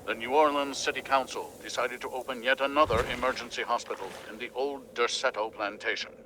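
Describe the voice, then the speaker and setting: An actor playing a formal, declamatory radio news announcer of the 1910s reading a grave bulletin in a video game. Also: male voice, medium pitch, steady tone, fairly quick, noisy background, close to an everyday voice. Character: solemn male radio newsreader of the 1910s.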